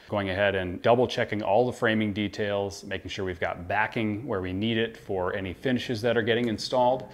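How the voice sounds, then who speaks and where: An American man in his thirties giving an informal, measured explainer to camera as a renovation contractor. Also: fairly low pitch. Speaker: home renovation contractor presenting. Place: indoor kitchen.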